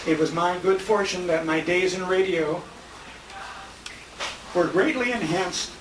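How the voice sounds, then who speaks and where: An older American man speaks as emcee at a banquet tribute, in a voice pitched high for a man. The sound comes from a handheld camera far from him, with strong room echo and clear background noise. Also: unhurried. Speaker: emcee and radio show host. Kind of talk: live tribute speech at a dinner. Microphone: distant handheld compact camera.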